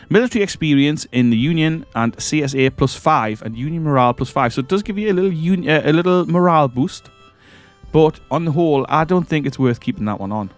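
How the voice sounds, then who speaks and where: A man with a cold hosting a strategy game let's-play, his voice croaky as he rambles and corrects himself while clicking through menus. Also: mid-range voice. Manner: rambling, hesitant, self-correcting. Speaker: gaming channel host. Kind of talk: casual let's-play commentary.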